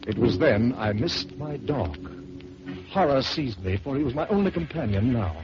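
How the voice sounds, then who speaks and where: A man hosting an old radio storytelling show, reading a ghost story aloud in a measured, theatrical way. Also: medium pitch, animated, music in the background. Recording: muffled old radio broadcast with hiss.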